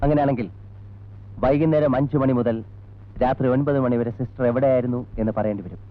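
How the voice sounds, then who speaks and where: An actor playing a stern, methodical police investigator in an old Malayalam film, formal and insistent. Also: male voice, medium pitch, fairly even intonation, fairly quick, lightly acted. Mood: probing, firm. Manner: formal, insistent, mixing in English words. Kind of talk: 1980s Malayalam film acting. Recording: dull old film soundtrack with music.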